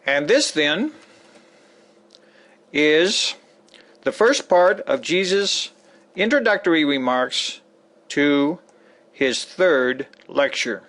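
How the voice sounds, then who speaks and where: A man narrating a scripted introduction to a dramatic book reading, with emphatic, deliberate phrasing. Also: on the high side, very animated, a bit bright.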